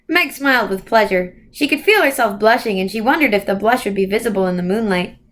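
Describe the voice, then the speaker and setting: A woman reading a children's novel aloud in an expressive storytelling way. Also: mid-range voice, a little fast.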